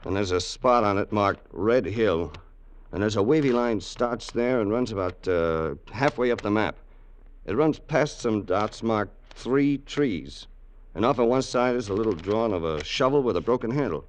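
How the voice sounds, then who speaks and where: An actor playing a loyal, wary gangster's sidekick in an old radio crime drama, colloquial and hardboiled. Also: male voice, fairly low pitch, lively intonation, normal speed, close to an everyday voice. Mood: hesitant, wary. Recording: noisy old radio recording.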